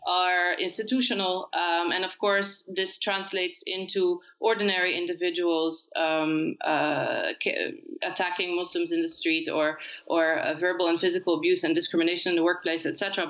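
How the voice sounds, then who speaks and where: A woman researcher speaking on a panel over a video call, earnest and halting with many fillers. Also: lowish voice, steady tone, a bit bright. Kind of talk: remote panel discussion. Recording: dull, lo-fi audio.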